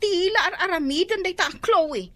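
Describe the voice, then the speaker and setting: A woman's voice: very high-pitched, moderate pace. A woman playing an exasperated, nagging elder sister in an Ilocano radio comedy-drama, speaking emphatically. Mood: scolding, exasperated. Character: exasperated, scolding elder sister who sells smoked fish.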